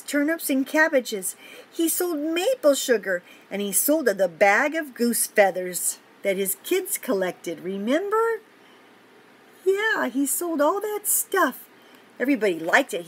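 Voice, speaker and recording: An older woman with a fairly high, slightly husky voice reads a storybook to young children. She breaks off for simple, encouraging asides, pointing things out as she goes. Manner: encouraging, explains pictures simply to young listeners. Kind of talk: picture-book read-aloud with chatty asides to children.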